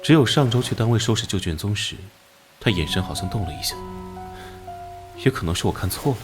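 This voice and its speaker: Actor as low-voiced male police detective. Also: animated, brisk, close to an everyday voice. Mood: subdued. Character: gruff male police detective captain, adult. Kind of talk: animated crime drama voice acting. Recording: background music under the voice.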